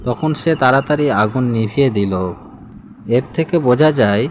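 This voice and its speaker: A man's voice: medium pitch, moderate pace. A man narrating a scripted fable as a storyteller, with background noise behind him. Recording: clear background noise, outdoor ambience.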